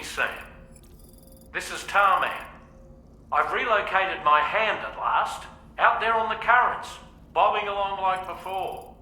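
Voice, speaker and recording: An actor playing a purposeful ship's captain in a video game, giving a businesslike, folksy briefing with orders. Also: male voice, on the high side, sharp tone. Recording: echoey transmission over faint noise.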